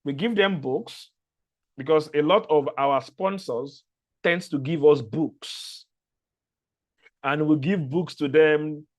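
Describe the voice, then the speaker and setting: A Nigerian man whose first language is not English addresses a new fellowship cohort. His voice sits a little high, and he talks earnestly, restarting words and repeating phrases as he goes. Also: slow pace, pauses often. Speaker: fellowship organizer. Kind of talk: onboarding talk to a fellowship cohort.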